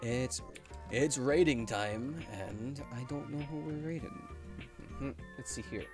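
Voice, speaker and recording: A young man streaming, narrating and chatting casually in a playful, animated manner. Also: medium pitch, measured pace, over music. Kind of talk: casual livestream chat and game narration.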